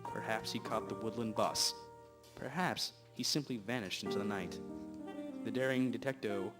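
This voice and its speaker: Mock-noir storyteller male, lower voice, amateur radio play, rough recording, loud guitar underneath. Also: close to an everyday voice. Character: wry male narrator of a mock detective mystery. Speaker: narrator. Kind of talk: dramatic scripted narration in hobby radio drama. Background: loud plucked guitar music.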